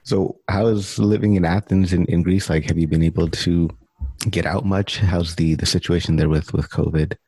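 A man's voice: deep voice, steady tone, moderate pace, warm tone, husky, dry recording. A man hosting a podcast, upbeat and enthusiastic as he introduces the show and asks questions. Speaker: podcast host.

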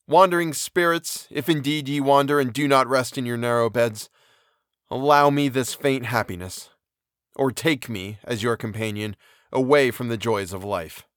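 A man's voice: medium pitch, moderate pace, a bit bright, no room sound, studio quality, lightly acted. A man voicing a furious young male scientist with raised, declamatory outbursts in an audiobook reading. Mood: imploring.

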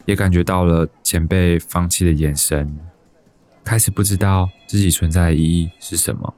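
Man acting as timid office guy in his 30s, low voice, taiwanese mandarin, introspective radio drama narration. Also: steady tone, moderate pace, darkish tone, lightly acted. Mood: wistful, resigned. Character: despondent, timid young bank employee narrating his life.

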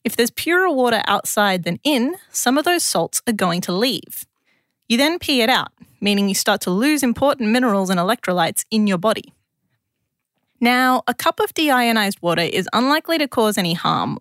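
Woman, Australian accent, mid-pitched, conversational scripted science narration, science journalist, very dry recording. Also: animated, normal speed, fairly bright tone, dry recording.

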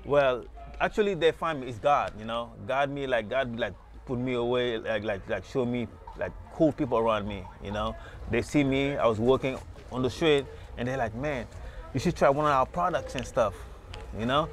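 A man's voice: medium pitch, normal speed. A man from Senegal, a market booth owner telling his story in a casual street interview, rambling and repeating words. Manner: rambling, repeats words, lots of "you know". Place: outdoors at a beach market booth.

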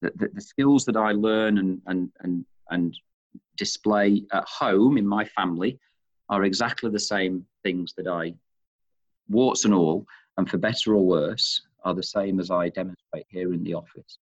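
A man's voice: sits low, measured pace, muffled recording. A middle-aged man with a Manchester accent, head of an organization, answering interview questions on leadership and often repeating words as he works out his point. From England.

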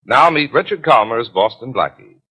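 A dramatic, solemn 1940s radio announcer. His delivery rises and falls widely over clear organ music, on an old broadcast recording. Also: mid-range voice, animated, normal speed, pushed and bright, rough recording.

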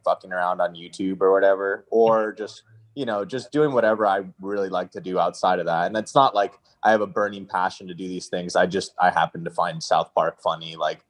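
Young man, early twenties, deep pitch, casual, roundabout, self-questioning. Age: early 20s. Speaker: freelance copywriter asking for advice. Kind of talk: casual chat.

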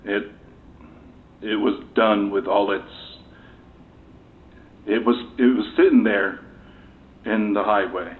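An actor playing a shaken military door gunner in an audio drama, answering evasively and haltingly, stammering and repeating himself. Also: male voice, lowish voice, steady tone. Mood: shaken, numb. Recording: noisy muffled phone-like interview tape.

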